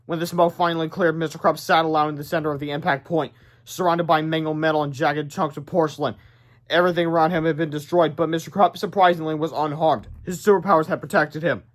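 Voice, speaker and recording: A man reading a children's book aloud as storyteller, animated, with casual asides and stumbles over words. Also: on the high side, quick pace.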